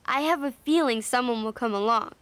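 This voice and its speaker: A woman's voice: a little high, fairly quick, a bit bright, close to an everyday voice. A woman voicing a cheerful, eager young bear cub with a childlike voice in a children's cartoon. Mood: eager, curious. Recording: music behind the voice.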